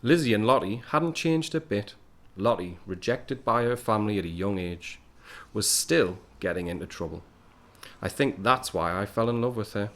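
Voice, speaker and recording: A man's voice: fairly low pitch, expressive, moderate pace, a bit bright. An actor playing a wistful young man home from the war, narrating an audio play as a storyteller. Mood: fond. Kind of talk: scripted first-person narration in an audio play.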